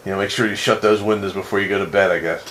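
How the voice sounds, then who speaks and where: A man in casual on-air radio chat, speaking haltingly and repeating phrases, heard over a two-way radio. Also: low-pitched, fairly even intonation. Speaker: amateur radio net operator. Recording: noisy radio transmission with static.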